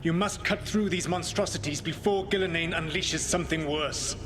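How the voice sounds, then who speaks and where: A man voicing a grave, commanding ancient elven rebel leader with formal, measured phrasing in a video game. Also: fairly high pitch, over loud music, close to an everyday voice. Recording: noisy ambience behind the voice.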